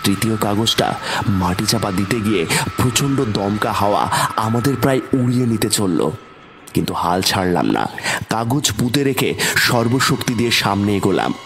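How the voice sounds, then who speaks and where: A man's voice: lowish voice, lively intonation, normal speed, vocal fry. A man narrating a scripted first-person horror story in a dramatic, suspenseful way. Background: background music.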